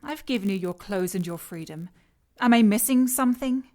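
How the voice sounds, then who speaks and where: Woman playing a poised scientist villainess, medium pitch. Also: expressive, moderate pace, a little mellow, natural voice. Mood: coolly persuasive. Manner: composed, formal, condescending. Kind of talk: audio drama acting.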